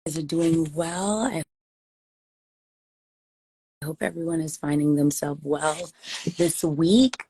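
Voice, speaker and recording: A hoarse woman hosting a live video chat, welcoming and effusive. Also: sits low, takes it slowly, long pauses, dry recording, rough recording. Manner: warm, effusive. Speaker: host. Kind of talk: casual live stream chat. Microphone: video call.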